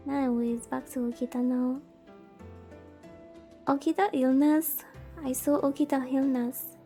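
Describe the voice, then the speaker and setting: A woman streamer with a very high, soft-edged voice plays a visual novel over faint music. She rambles casually, reacting aloud to the game between bits of reading. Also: level tone, measured pace. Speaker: VTuber streamer.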